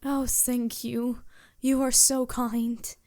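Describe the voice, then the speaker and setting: Timid, high-voiced vampire woman, played by an actress. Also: flat delivery, takes it slowly, a little mellow, no echo, clean studio sound. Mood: grateful, polite. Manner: hesitant, stammering, courteous, apologetic. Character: polite, anxious vampire woman posing as a traveling merchant. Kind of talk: fantasy roleplay audio drama, addressing the listener.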